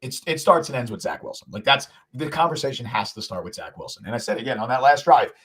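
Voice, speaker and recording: A man co-hosts a sports podcast with a voice gone husky and hoarse from the weekend. He talks at a rapid clip and repeats phrases for emphasis. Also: mid-range voice, animated, a bit bright. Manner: fast, emphatic, repeats himself for stress. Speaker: podcast co-host.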